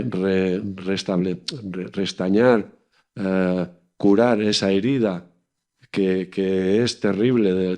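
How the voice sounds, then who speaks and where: Guy from catalonia, lowish voice, talking politics seriously, kinda halting as he looks for words. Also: mellow. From Catalonia, Spain. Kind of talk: earnest political discussion.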